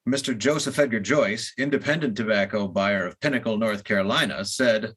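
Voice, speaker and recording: A man's voice: fairly low pitch, a little fast, a bit bright, husky. A man playing a formal, authoritative announcer in the style of a 1940s radio commercial.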